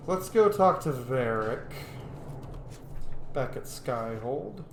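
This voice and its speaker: A man's voice: medium pitch, animated, takes it slowly. Roomy-sounding man casually narrating his gameplay. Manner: thinks out loud, muttering item names. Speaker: video game player narrating a roleplay playthrough. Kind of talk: casual let's-play commentary. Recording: roomy echo, background noise.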